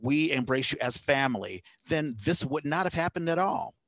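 A man's voice: fairly high pitch, expressive, normal speed, a bit bright, dry recording. A man hosting a casual interview, talking in a rambling, conversational way. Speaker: podcast host. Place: indoors at home, on a video call.

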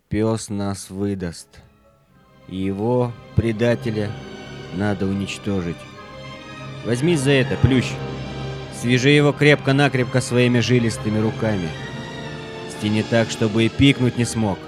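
A man's voice: on the low side, steady tone, measured pace, pauses often, lightly acted. A man playing a huge, ancient, vengeful oak in an audio play, speaking in a ponderous, commanding way, with music behind him. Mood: menacing, commanding. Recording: loud music under the voice.

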